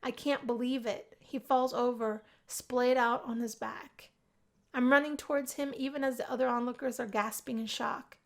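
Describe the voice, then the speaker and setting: High-voiced woman narrating a story. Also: fairly even intonation, moderate pace. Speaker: gaming video host, narrator. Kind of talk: first-person visual novel narration, read aloud.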